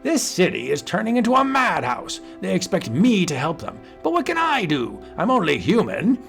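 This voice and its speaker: A man's voice: high voice, huge pitch swings, moderate pace, vocal fry, fairly bright tone, faint music behind, pitched above the performer's own voice, heavily acted. A man playing a fretful harried mayor. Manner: fretful and complaining. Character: harried male city mayor.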